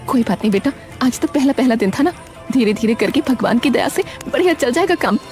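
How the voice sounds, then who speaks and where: A woman voicing a village mother who sells food, gentle but aggrieved, in an animated story. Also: fairly high pitch, a little fast, faint music behind, voice pushed up, close to an everyday voice. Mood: reassuring. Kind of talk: animated story character acting.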